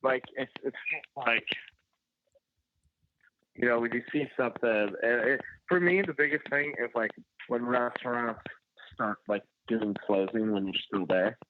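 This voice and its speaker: A man's voice: medium pitch, unhurried. A man, a chef and competition finalist, telling stories in a rambling way on a podcast and leaning on "like" constantly. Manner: rambling storyteller, heavy use of "like". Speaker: chef, show finalist guest. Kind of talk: casual podcast interview. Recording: tinny phone-like line.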